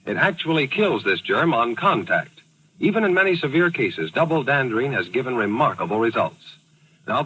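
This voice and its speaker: A man's voice: medium pitch, bright, forceful tone, a little hiss or hum, rough recording. A man reading a 1940s radio advertisement as an emphatic commercial announcer. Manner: emphatic, selling. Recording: old radio broadcast recording.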